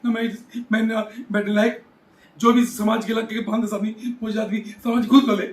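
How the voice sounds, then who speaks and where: A man's voice: sits high, flat delivery, moderate pace. A man speaks as a political interviewee. He drives his points home with emphatic repetition. The sound is roomy and echoing. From Haryana, India. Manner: emphatic, repeats phrases for rhetorical effect. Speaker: former local chairman being interviewed.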